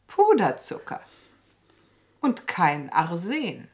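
Haughty household lady, lowish pitch, very animated intonation, commanding, indignant, radio play. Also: very slow, full character voice. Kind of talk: German radio play acting.

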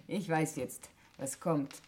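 An actress playing a cool, shrewd, teasing sister in a 1960s German radio murder mystery, with an ironic, probing manner. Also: female voice, deep voice, a little mellow, full character voice. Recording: noisy 1960s radio recording with clicks.

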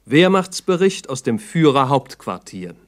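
A man narrating a 1960s documentary radio drama in a sober, factual manner with measured diction. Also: mid-range voice, very animated.

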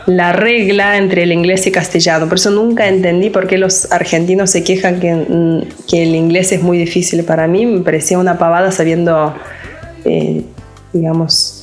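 A woman from Lithuania with a Lithuanian accent, a psychologist speaking in an interview, halting and searching for words with frequent restarts. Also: lowish voice, fairly even intonation, faint music behind. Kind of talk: casual interview talk.

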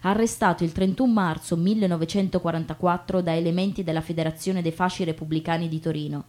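Low, mellow woman narrating solemnly. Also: fairly even intonation, fast talker. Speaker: stage narrator. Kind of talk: scripted theatrical reading of history and reflection.

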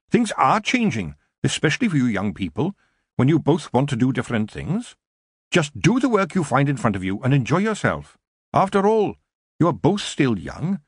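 A man voicing an elderly city witch shopkeeper in an audiobook, brisk and motherly, chatty and knowing. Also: fairly high pitch, slightly rough, dry recording, studio quality, voice pushed up. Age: older. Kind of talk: audiobook character voice.

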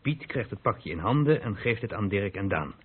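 An actor playing a stern, reproachful police inspector in a radio drama, questioning in a clipped, insistent, authoritative way. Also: male voice, fairly low pitch, big pitch swings, normal speed, breathy edge. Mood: businesslike. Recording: muffled narrow-band old radio recording.

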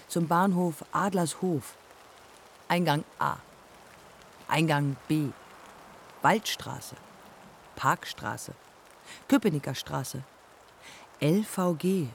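Radio drama: embittered ghostly woman pilot, terse poetic fragments, very unhurried, fry in the voice. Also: low voice, a little hiss or hum. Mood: incantatory. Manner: measured, terse, fragmented phrasing with deliberate pauses. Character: proud, embittered dead pioneer woman aviator, haunted by rejection. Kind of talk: poetic German radio play acting.

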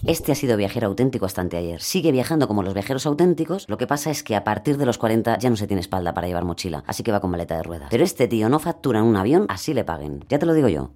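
A woman's voice: rumbling low, sing-song, rapid-fire, hardly any pauses, dark tone, full character voice. An actress playing an opinionated, wry woman in conversational radio fiction, with an emphatic, teasing way of explaining things. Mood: wry, assertive. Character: opinionated woman theorist of travel, wry and sure of herself.

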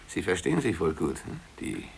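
An actor in a 1950s German radio play as a blunt, laconic young office worker with a teasing manner. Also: male voice, sits low, very animated, moderate pace, gravelly, exaggerated voice. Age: young adult. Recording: hissy 1950s radio recording with clicks.

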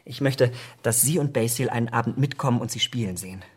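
Young, vain, impressionable gentleman played by a male actor for radio drama. Husky-breathy voice, mid pitch, dramatic romantic phrasing. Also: fast talker, close to an everyday voice. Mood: light, petulant. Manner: rapturous, earnest, dramatic phrasing. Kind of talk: German radio drama acting.

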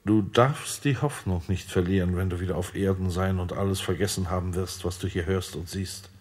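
A man playing a calm, fatherly male heavenly lord who speaks gently and patiently in a radio play. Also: low-pitched, fairly quick, slightly rough. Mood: reassuring. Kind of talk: radio drama acting.

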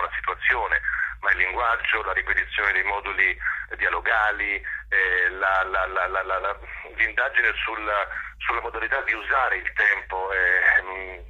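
Deep, husky, very sharp-voiced man. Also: muffled recording. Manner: halting, intellectual, emphatic, repeats and rephrases. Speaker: theatre director, interview guest. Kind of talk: spontaneous spoken interview by phone.

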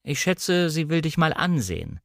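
Man reading a brisk, decisive gentleman, dry sound. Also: fairly high pitch, big pitch swings, creaky, no room sound, pitched above the performer's own voice, full character voice. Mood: matter-of-fact. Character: brisk, decisive English gentleman, master of an estate. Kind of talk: audiobook character dialogue.

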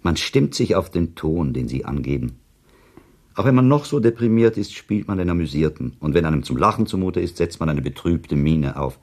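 A man's voice: low voice, lively intonation, moderate pace, a little mellow, husky, exaggerated voice. An actor playing a cynical, impatient male listener who contradicts in a dry, argumentative manner, in a literary radio play. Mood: sardonic, world-weary.

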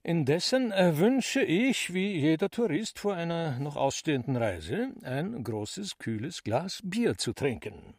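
A roguish trickster in a radio play speaks in a rather high voice. He is flowery and mock-courtly, stretching out his hesitations with theatrical relish. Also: very animated, unhurried, hardly any pauses. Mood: mock-courteous. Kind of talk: radio drama acting.

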